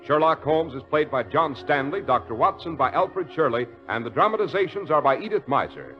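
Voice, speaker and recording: A man's voice: a little high, sing-song, a little fast. A male announcer reading formal scripted radio credits and sponsor copy. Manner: smooth, formal. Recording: dull old radio recording with loud music.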